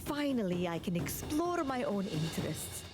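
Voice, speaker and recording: A genteel scholarly woman voiced by an actress speaks game quest lines with animated, swooping intonation. She is polite, eager and articulate, at a middle pitch, with music playing behind her. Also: moderate pace, close to an everyday voice. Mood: pleased, eager. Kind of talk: scripted video game quest dialogue.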